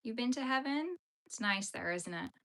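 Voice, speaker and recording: A woman's voice: fairly high pitch, lively intonation, normal speed, fairly bright tone. A young woman, chatting playfully with her livestream viewers while she crochets. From Canada. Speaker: livestream host.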